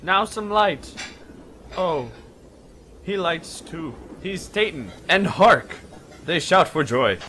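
A man's voice: on the high side, expressive, slow pace, long pauses, pushed and bright, a little hiss or hum, full character voice. A man playing an anxious, obedient bondman in an old-time radio Shakespeare drama. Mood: alarmed.

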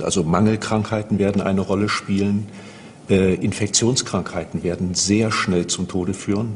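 A man's voice: low voice, a little mellow. A man speaking as an expert giving an interview statement. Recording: long hall reverb, faint noise.

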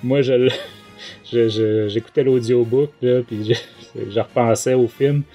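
A man's voice: mid-range voice, steady tone, unhurried, mellow, dull audio, faint music behind. A man with a Quebec French accent hosting a casual book review podcast, talking in a hesitant way. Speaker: podcast host.

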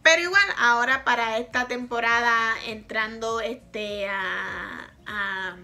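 Chatty Puerto Rican woman, bright voice. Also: on the high side, takes it slowly, vocal fry. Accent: Puerto Rican Spanish. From Puerto Rico. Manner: chatty, frank, laughs often. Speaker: makeup vlogger. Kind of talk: casual product review. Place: bedroom, indoors, small.

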